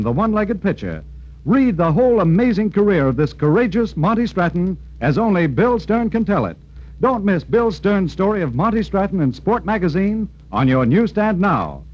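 A man's voice: high-pitched, darkish tone. An American man reading scripted promotional announcements in a 1940s radio style. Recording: dull vintage radio recording.

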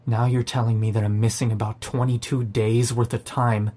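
A man's voice: medium pitch, flat delivery, moderate pace, dark tone, close to an everyday voice. A man playing a sarcastic, defiant, foul-mouthed zombie apocalypse survivor in an audio drama. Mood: angry, defiant. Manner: sardonic, profane. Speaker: narrator and lead character. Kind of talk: first-person audio drama narration and dialogue.

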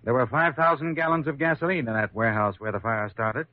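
An actor with an American accent playing a brisk, skeptical insurance investigator in an old-time radio drama, clipped and businesslike. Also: male voice, mid-range voice, dry recording, close to an everyday voice. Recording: muffled vintage radio recording.

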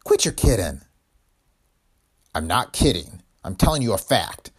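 A man voicing a tough, vengeful gangster's woman who talks in brassy slang, with a husky tone. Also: fairly low pitch, big pitch swings, measured pace, full character voice. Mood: defiant.

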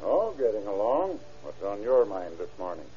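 A man's voice: lowish voice, sing-song, moderate pace, mellow, lo-fi sound. An actor, a man, playing an easygoing, observant small-town deputy sheriff in a folksy manner in a radio drama. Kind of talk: 1930s radio drama acting. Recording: band-limited old radio drama recording.

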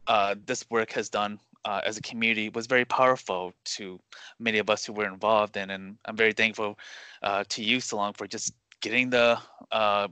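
A man with a low, clear voice and a bright, forward tone leads an interview. He speaks earnestly and haltingly, picking his way through phrases. The sound is dry and close. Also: fairly even intonation, dry recording. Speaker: podcast interviewer. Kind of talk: conversational interview introduction.

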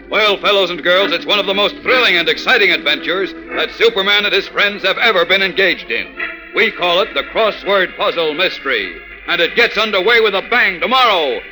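A male storyteller from a 1940s radio serial, over loud music. His voice is fairly high, and his delivery is theatrical and full of suspense. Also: low-quality recording. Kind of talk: dramatic 1940s radio narration.

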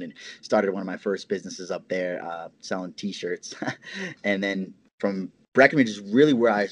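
Casual, lower-pitched American man explaining. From Hawaii, United States. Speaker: interview guest, cannabis grower and entrepreneur. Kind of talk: conversational interview explanation.

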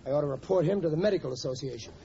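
An actor playing a grumbling, stingy working-class husband of about forty, with blustery slangy talk, in old-time radio comedy. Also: male voice, a little high, racing, gravelly. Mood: indignant. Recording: dull, noisy vintage radio recording.

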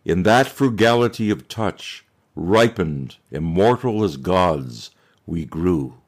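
A man with a very low voice reads poetry at an unhurried, slow pace. His delivery is solemn and measured, each phrase set apart. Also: animated. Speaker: poet. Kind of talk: poetry recitation.

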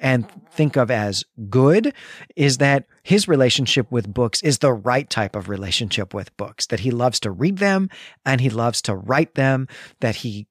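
Guy hosting a book podcast, explains things with emphasis, average pitch, dry recording. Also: expressive, measured pace, no room sound. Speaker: podcast host. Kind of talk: literary discussion.

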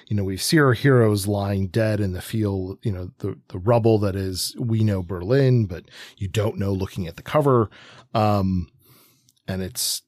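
A man's voice: on the low side, no room sound, studio quality. A man co-hosting a podcast, chatting casually in rambling sentences with emphatic asides. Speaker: podcast co-host. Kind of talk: casual comic book discussion.

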